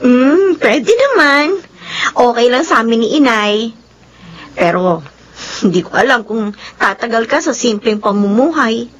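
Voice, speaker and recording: A woman's voice: fairly high pitch, animated, a little slow, pauses often. A woman playing a teasing, inquisitive young country cousin in a Filipino radio drama. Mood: curious.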